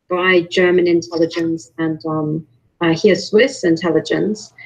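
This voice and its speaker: Woman on a call, kinda husky, earnest, restarts her words a lot, muffled sound. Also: lowish voice. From Romania. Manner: earnest, repeats words when starting sentences. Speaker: panel guest. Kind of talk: video call roundtable discussion. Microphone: video call microphone.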